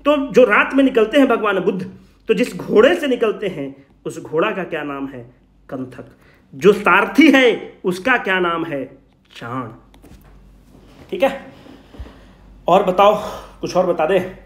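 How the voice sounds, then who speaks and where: Male exam coach, very lively intonation, question-and-answer teaching style, roomy echo. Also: sits high, unhurried, long pauses. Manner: animated, rhetorical question-and-answer, addresses students familiarly. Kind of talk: informal exam revision lecture, asking and answering own questions. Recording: roomy, echoing sound.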